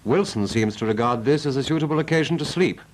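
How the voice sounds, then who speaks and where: A man playing a brisk British naval instructor, narrating a 1940s training film in a clipped, didactic, emphatic way. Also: medium pitch, natural voice. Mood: brisk, instructive. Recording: noisy vintage 1940s film soundtrack.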